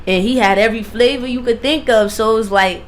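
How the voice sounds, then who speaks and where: A woman's voice: on the high side, brisk, a little hiss or hum. A woman radio DJ chatting casually and emphatically in slang as she interviews a guest, often repeating phrases for emphasis.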